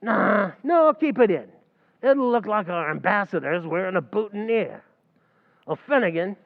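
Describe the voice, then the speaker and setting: Actor as a scheming New barman, high and bouncy, lo-fi radio comedy. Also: expressive, a little slow, gravelly, exaggerated voice. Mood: wisecracking. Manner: wisecracking, mangles grammar. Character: fast-talking scheming male tavern manager. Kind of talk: 1940s radio acting.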